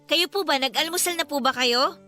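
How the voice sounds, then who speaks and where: An actress playing an outspoken, fiery grown daughter in a Filipino radio drama, speaking bluntly and emphatically but with respect. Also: female voice, high-pitched, fairly quick, sharp tone. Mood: playful, cheerful. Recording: studio drama with music behind.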